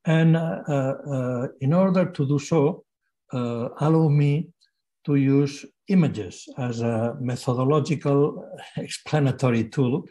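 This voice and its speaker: A man's voice: medium pitch, unhurried, mellow. A man in his sixties with a Catalan accent, giving a hesitant lecture by video call from a home study, with many fillers and restarts. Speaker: guest lecturer. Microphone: laptop webcam on video call.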